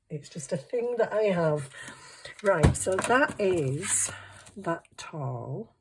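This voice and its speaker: A woman with a hoarse, croaky voice, chatting casually and thinking aloud through a crafting tutorial. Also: sits low, noisy background. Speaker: craft vlogger.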